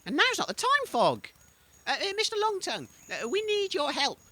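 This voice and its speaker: A woman's voice: very high-pitched, huge pitch swings, normal speed, pushed and bright, heavily acted. A woman playing an earnest, naive, easily exasperated young adventurer in a comedy audio drama, flustered and indignant.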